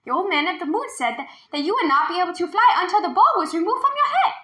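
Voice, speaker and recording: A woman's voice: very high-pitched, expressive, fast, pushed and bright, reverberant, voice pushed up, heavily acted. A woman reading a storybook as a brave, kind young village girl, speaking earnestly. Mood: explaining.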